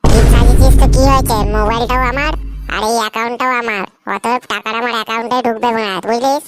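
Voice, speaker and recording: A woman's voice: fairly high pitch, steady tone, quick pace, loud music behind the voice, natural voice. A woman voicing a cocky, greedy young male schemer in a comic cartoon, brash, bossy and slangy. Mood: angry, quarrelsome.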